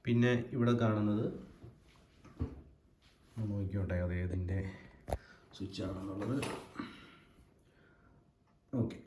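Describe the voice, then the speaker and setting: A man with a fairly low voice and a Kerala accent presents a hands-on demonstration. He explains step by step, unscripted and a little halting. The room is clearly echoey, with faint noise behind him. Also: darkish tone. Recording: echoey room with background hiss.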